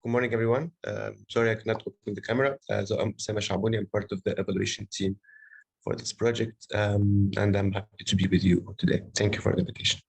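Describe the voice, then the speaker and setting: A man's voice: lowish voice, steady tone, quick pace, vocal fry, darkish tone, slightly rough, rough recording. A man briefly introducing himself as an evaluation team member in an online meeting.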